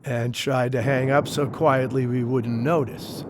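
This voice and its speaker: A man's voice: mid-range voice, steady tone, a little slow, lightly acted. An actor playing an uneasy, determined man investigating a house in an audio play, talking urgently and colloquially over faint noise.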